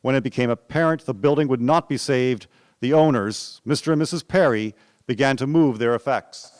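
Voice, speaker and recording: A man narrating a historical radio drama in a measured storytelling manner. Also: medium pitch. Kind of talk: scripted documentary drama narration.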